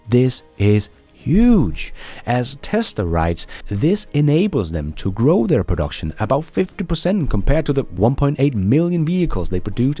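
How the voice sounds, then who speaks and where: A man's voice: mid-range voice, mellow. A man hosting a news commentary show for viewers, enthusiastic and emphatic in his delivery. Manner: enthusiastic, emphatic, chuckling between points. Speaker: host of a Tesla news channel.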